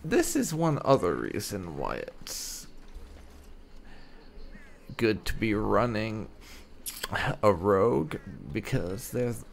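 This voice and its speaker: A man giving casual commentary on a game playthrough, halting as he thinks aloud. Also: on the low side, wildly swooping pitch, vocal fry, a little hiss or hum. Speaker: gaming video host. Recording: faint game music behind.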